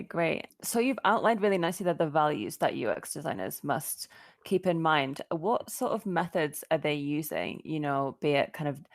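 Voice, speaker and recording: Woman interviewer, low voice, long questions with lots of fillers.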